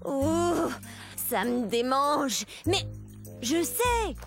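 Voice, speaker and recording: A woman's voice: high-pitched, very animated, extremely slow, full character voice. A woman voicing a small, friendly, eager horned dinosaur who teaches perkily in a children's cartoon. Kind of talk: animated children's educational cartoon narration. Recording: music bed under the voice.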